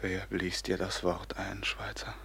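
A man's voice: sits low, flat delivery, a little slow, gravelly, a bit bright, a little hiss or hum, heavily acted. An actor playing an anguished, betrayed young man turned grim robber captain, declaiming with passion in a classic German radio drama. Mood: grim resolve. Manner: declamatory, impassioned, bursting from whispers into cries.

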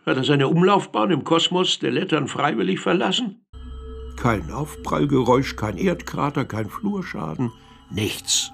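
A man narrating a literary radio play as a pensive storyteller, measured and deliberate, pausing between phrases. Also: fairly high pitch, lively intonation, gravelly, a bit bright, soft background music, exaggerated voice. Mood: pensive, wondering. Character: pensive male narrator musing over vanished letters.

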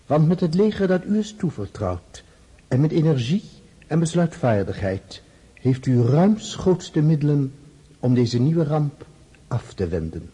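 A man's voice: a little high, big pitch swings, a little slow, dark tone, husky, full character voice. A man acting a stern, formal Russian tsar dictating a grave letter in a radio play. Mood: stern, solemn.

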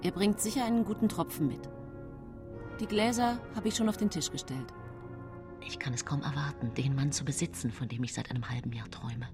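Casting: actress for scheming young-century widow, radio drama monologue; low register, hushed confiding delivery, sensual and pleased with herself, clear music bed. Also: female voice, a little fast, close to an everyday voice. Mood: eager longing. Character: scheming early-19th-century German widow, sensual and self-satisfied. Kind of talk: radio drama monologue, intimate first-person narration.

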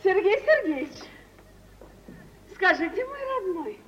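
A woman's voice: very high-pitched, sing-song, measured pace, heavily acted. An actress playing a bustling, ingratiating middle-aged mother, exclaiming theatrically in an old Russian radio drama. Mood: effusive, fawning. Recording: dull, hissy old radio recording, distant.

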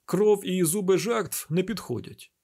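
Man acting exacting, husky medical examiner. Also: high voice, measured pace, dark tone, voice pushed up. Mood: controlled, explanatory. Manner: formal, precise, lecturing. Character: exacting, testy male chief medical examiner.

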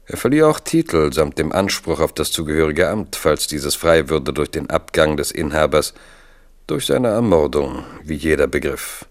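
A man's voice: rumbling low, big pitch swings, moderate pace. A man narrating a scripted historical radio drama.

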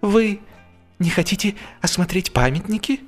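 Shrill squeaky little old man, servile and fussy, radio play style, really high voice. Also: lively intonation, soft background music. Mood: eager, puzzled. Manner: hurried, exclaiming, obsequious. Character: fussy bald old graveyard caretaker, eager and servile.

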